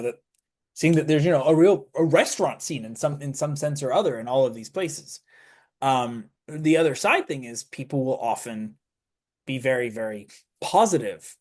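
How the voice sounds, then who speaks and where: A young man, a student guest on a radio interview, talking casually with lots of fillers and restarts. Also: medium pitch. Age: young adult. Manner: rambling, many fillers and restarts. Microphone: studio mic.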